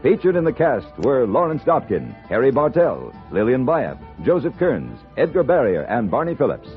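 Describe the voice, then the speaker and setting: A man's voice: mid-range voice, huge pitch swings, moderate pace. A man reading scripted credits as a formal, declamatory 1950s radio announcer. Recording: narrow old radio recording over music.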